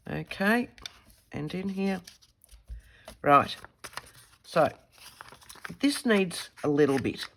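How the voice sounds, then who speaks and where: An Australian woman with a croaky head-cold voice, chatting casually as she demonstrates a craft at her desk, thinking aloud and correcting her own words. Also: lowish voice, lively intonation, drawn-out words, long pauses, fairly bright tone, a little hiss or hum. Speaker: craft tutorial host.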